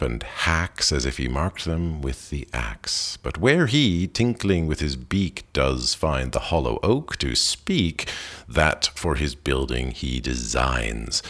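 A man with a very deep voice reads a poem aloud at an unhurried pace. His delivery is expressive, with a lively, rising and falling pitch that climbs on the exclamations. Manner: measured, expressive reading with lively rises on exclamations. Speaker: poetry reader. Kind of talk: poetry reading.

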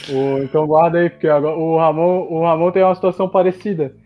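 A man's voice: a little high, flat delivery, fast. A man hosting casual podcast chat, prompting the others to tell their stories. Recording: slightly dull sound with faint music.

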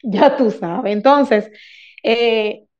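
Slow-spoken Dominican woman, dull recording. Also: on the high side, a little mellow. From Dominican Republic. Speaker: guest speaker in a group discussion. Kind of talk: unscripted explanation of lived experience.

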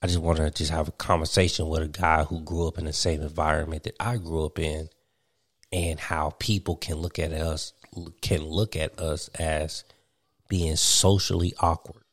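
A man's voice: very deep voice, little pitch movement, moderate pace, no echo, clean studio sound. A young man from Virginia hosting a casual podcast chat, rambling with lots of fillers and laughing often. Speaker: podcast host.

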